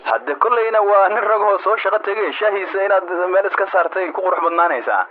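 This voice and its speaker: A sociable, helpful Somali friend calling in a radio drama. His slightly high voice comes quickly through a narrow, tinny telephone line. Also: slight background noise. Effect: telephone filter. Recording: thin, narrow phone-line sound.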